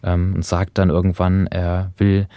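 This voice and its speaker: A man's voice: low-pitched, completely flat delivery, a little slow, mellow, clear, resonant tone, no room sound, clean studio sound. A man co-hosting a podcast, explaining radio drama in a conversational, scholarly way. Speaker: podcast co-host.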